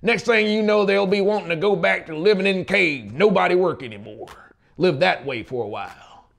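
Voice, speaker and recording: A man's voice: high voice, moderate pace, gravelly, fairly bright tone, pitched above the performer's own voice. Man acting cranky, petulant old country man. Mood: scornful. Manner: grumbling, folksy, scornful. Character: petulant, stubborn old small-town man, scornful of the young. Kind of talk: dramatic audiobook reading.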